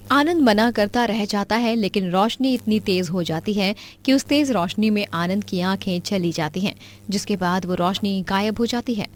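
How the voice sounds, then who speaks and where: A woman's voice: mid-range voice, moderate pace. A woman reading scripted narration for a children's moral story.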